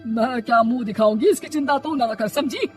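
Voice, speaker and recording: A sharp-tongued older mother-in-law from a cartoon moral tale, acted out by a woman. Her voice sits fairly high and she scolds with exasperated sarcasm. Clear background music plays under her. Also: fairly quick, close to an everyday voice. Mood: scolding, exasperated. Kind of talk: Hindi cartoon moral story acting. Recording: music behind the voice.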